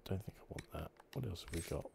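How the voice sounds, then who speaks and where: Male gaming host, hushed ASMR commentary. Rock-bottom pitch, relaxed and chatty, clear game noise behind. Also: fast. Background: video game ambience.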